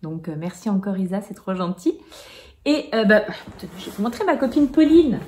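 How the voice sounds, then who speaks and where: A woman's voice: medium pitch, sing-song, moderate pace, a little mellow. A woman in her forties chatting casually to camera about knitting at home, hesitant, with many fillers and small laughs. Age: 40s. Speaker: knitting vlogger.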